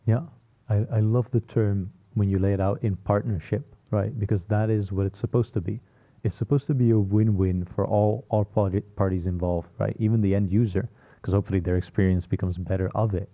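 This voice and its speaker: Very low, dark-voiced male podcast host. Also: fairly even intonation, a little fast. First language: Dutch. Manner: conversational, frequent tag questions "right?". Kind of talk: podcast interview.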